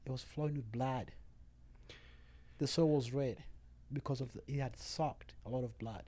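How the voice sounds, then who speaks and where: A man's voice: mid-range voice, moderate pace, dark tone. A man of about forty from Uganda with an East African accent, telling his own story emphatically as a podcast guest. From Uganda, Rwandan refugee family. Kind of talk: personal testimony in an interview.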